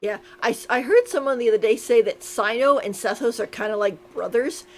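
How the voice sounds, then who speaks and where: A woman's voice: a little high, a little fast. A woman game streamer giving casual live commentary as she plays.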